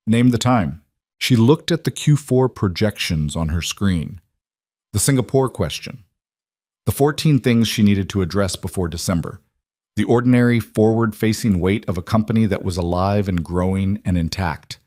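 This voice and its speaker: Male narrator, low voice, solemn measured storytelling, close dry recording. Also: a little mellow, no room sound. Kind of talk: scripted dramatic story narration.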